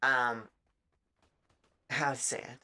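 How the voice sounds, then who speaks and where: A streamer with a raspy, dry-throated voice, chatting casually in a halting way and often breaking off mid-thought. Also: medium pitch, flat delivery, sharp tone. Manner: halting, self-interrupting, trailing off mid-thought. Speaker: live streamer. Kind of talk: casual rambling chat while gaming, giving art advice.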